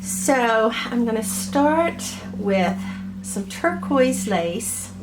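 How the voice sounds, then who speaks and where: Slightly hoarse woman, higher pitch, very unhurried meandering craft-tutorial delivery. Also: very animated, background noise. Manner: gentle, meandering, many ums and uhs. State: has a cold, a little hoarse. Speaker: crafter showing her ornaments. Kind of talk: slow, chatty craft tutorial.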